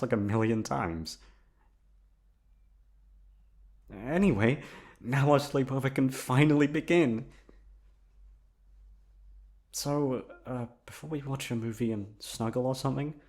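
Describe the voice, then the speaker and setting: A man's voice: mid-range voice, darkish tone, lightly acted. A man voicing a nervous, obsessively lovesick young best friend in an intimate ASMR roleplay, rambling hesitantly straight to the listener. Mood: awkward, eager.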